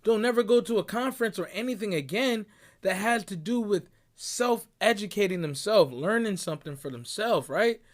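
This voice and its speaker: A man's voice: sits high, animated, normal speed. A young man from Barbados giving an emphatic, preachy motivational talk, constantly checking in with "right?" and "bruh". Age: young adult. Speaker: motivational speaker. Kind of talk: motivational monologue. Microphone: audio clip recording.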